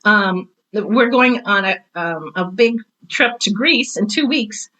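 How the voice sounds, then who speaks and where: A woman in her sixties with a bright, crisp-edged voice at a medium pitch. She talks casually, as on a podcast, and animatedly voices the little quotes in her stories. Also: expressive, slow, rough recording. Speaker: retired teacher and author talking on a podcast. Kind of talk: casual conversational podcast chat.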